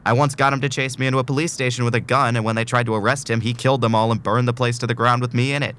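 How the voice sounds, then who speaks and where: A man's voice: medium pitch, steady tone, rapid-fire, a bit bright, clear, resonant tone, close to an everyday voice. A man acting a desperate, tormented patient in a student audio drama, speaking in a weary, urgent, rambling way. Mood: agitated. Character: desperate, tormented man trapped in torture loop.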